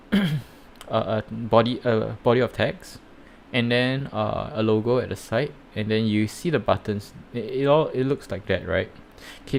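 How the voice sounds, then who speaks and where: A man with a Singaporean accent giving rambling, halting commentary while live-streaming reviews of projects. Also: on the low side, vocal fry, slight background noise. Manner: rambling, halting, many fillers and restarts.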